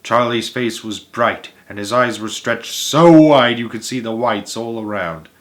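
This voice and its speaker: A man narrating a children's book aloud in an expressive manner, occasionally stumbling over a word. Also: medium pitch, unhurried. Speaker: narrator reading aloud, channel host. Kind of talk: children's storybook read-aloud.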